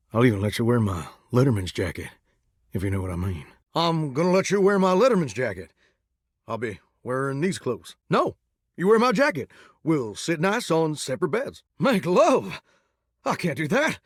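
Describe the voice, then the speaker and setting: An actor playing a shower come to life as a 1950s rock-and-roll crooner, talking in greaser slang with a drawling croon. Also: male voice, high-pitched, fairly bright tone, dry recording, clean studio sound, exaggerated voice. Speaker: game character. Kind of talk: video game voice acting.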